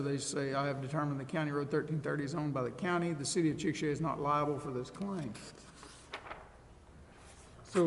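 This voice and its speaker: Council member, American male, slightly high pitch, explanatory delivery, echoing chamber. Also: darkish tone. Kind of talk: explaining at a public council meeting. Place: large indoor council chamber. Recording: echoey hall, background noise.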